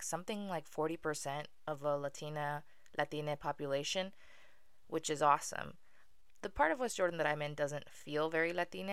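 Young woman podcast host, smooth but gravelly low voice, self-deprecating humor. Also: a bit bright, no room sound. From Venezuela. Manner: wry, self-deprecating, with filler ums. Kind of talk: casual solo podcast monologue.

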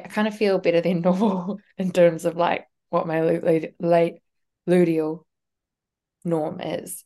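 A young Australian woman co-hosts a podcast in a loose, rambling way, leaning on "um" and "like". Her voice sits on the low side. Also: a little mellow. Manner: rambling, lots of "um" and "like". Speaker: podcast co-host. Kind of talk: casual podcast chat.

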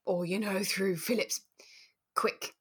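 A woman co-hosting a podcast, recapping casually in a measured, thoughtful way. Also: mid-range voice, fairly even intonation, a bit bright, slightly rough. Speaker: podcast co-host. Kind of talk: relaxed chat recapping a radio drama.

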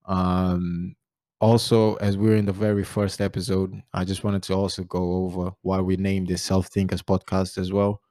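A man in his twenties from Portugal, speaking English with a Portuguese accent, hosting a relaxed podcast chat full of fillers. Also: low voice, normal speed, dry recording, studio quality. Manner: relaxed, rambling, many fillers. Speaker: podcast host. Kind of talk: casual chat.

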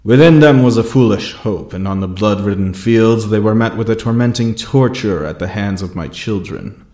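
A man voicing a cruel, gloating dark lord in a fantasy story, declaiming grandly and deliberately with menace. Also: on the low side, reverberant, natural voice. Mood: menacing, wrathful.

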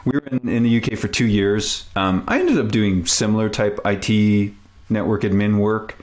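A man in his forties from Canada with a low voice, whose native language is French. He chats informally as an interview guest, thinking things through as he goes. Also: animated, normal speed. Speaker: podcast guest. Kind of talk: casual interview chat.

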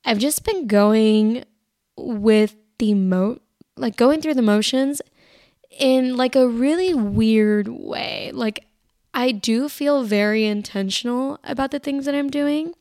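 Raspy young woman rambling on a podcast. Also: fairly high pitch, takes it slowly, darkish tone, dry recording, studio quality. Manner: rambling, filler-heavy, lots of "like". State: recovering from illness, tired. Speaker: podcast host. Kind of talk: casual solo podcast chat.

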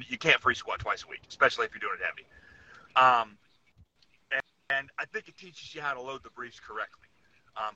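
A man with a very bright, forceful voice talks casually as a podcast co-host. He lays out his opinions in an instructive way, like a lifter explaining his method. The recording is slightly dull and lo-fi. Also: mid-range voice.

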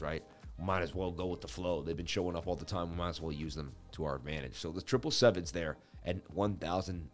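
A man in his thirties hosting a live crypto chart stream, chatty and animated with viewers, his voice scratchy as he loses it. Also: sits low. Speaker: crypto market livestream host. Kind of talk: live chart analysis commentary.

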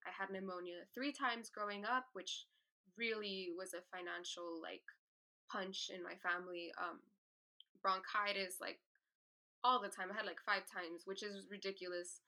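A young woman speaking candidly and at length as a podcast guest, with many pauses and fillers. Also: mid-range voice, fairly even intonation, sharp tone. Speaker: artist guest. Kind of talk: podcast interview.

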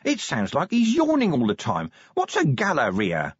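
Scruffy, confused city-watch corporal voiced by a man; high, pinched voice, dry and dull recording. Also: wildly swooping pitch, moderate pace, husky, dry recording, heavily acted. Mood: bewildered.

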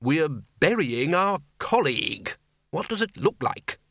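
A man's voice: fairly high pitch, big pitch swings, unhurried, a bit bright, no room sound, clean studio sound, voice pushed up, heavily acted. Man playing an impatient, bossy old-school head wizard. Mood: brisk. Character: brusque irritable male head wizard.

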